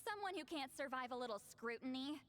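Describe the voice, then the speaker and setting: A woman voicing a proud, brusque, sharp-tongued young shaman in an anime-style video game, with a haughty, impatient delivery. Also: high-pitched, animated, quick pace, crowd noise. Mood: impatient, boastful.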